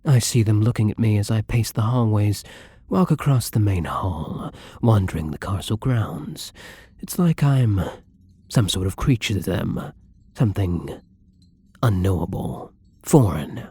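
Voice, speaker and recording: A man playing a gentle, lonely, tormented vampire in an intimate ASMR roleplay, speaking softly and hesitantly in a breathy, brooding way, often near a whisper. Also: fairly low pitch, animated, no echo. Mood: lonely, weary. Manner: slow, hesitant, brooding, tender. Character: melancholy young male vampire, gentle, lonely, tormented, once human.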